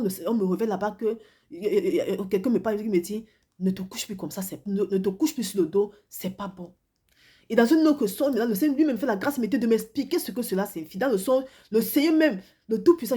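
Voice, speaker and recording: A woman's voice: mid-range voice, dark tone, breathy edge. A woman preacher giving a devotional sermon and testimony while congested with a cold, earnest and repetitive, often restarting her phrases. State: has a cold and flu, congested. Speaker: preacher, self-described prophetess.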